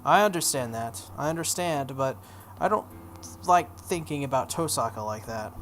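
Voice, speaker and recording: A man's voice: medium pitch, lively intonation, moderate pace, a bit bright. A man reading a visual novel aloud as narration, stumbling over the text now and then and dropping into casual asides. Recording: noticeable background noise.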